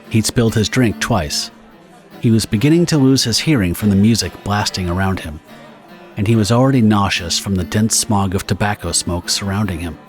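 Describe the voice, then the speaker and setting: Low-voiced man narrating pulp horror. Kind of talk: scripted audio drama narration of pulp horror fiction. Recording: guitar music behind the narration. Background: plucked guitar music.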